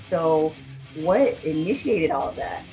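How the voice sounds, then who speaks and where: A woman's voice: sits low, animated, slow pace, mellow, faint music behind, poor audio. Chatty upbeat woman interviewer. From United States. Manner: upbeat, chatty, lots of "like" and "awesome". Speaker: interviewer, rock news correspondent. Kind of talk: casual interview over a video call.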